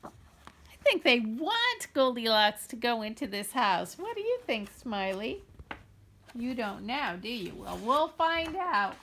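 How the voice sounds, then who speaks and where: A woman reading a children's story aloud in an expressive, playfully wry and deliberate way. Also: on the high side. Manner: playfully wry, deliberate, addresses young listeners. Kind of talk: animated storybook read-aloud.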